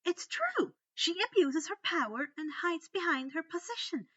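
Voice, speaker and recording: A woman's voice: very high-pitched, very animated, unhurried, sharp tone, highs cut off, pitched above the performer's own voice, heavily acted. A woman voicing a fierce, worried teenage witch girl in a storybook reading, emphatic and indignant.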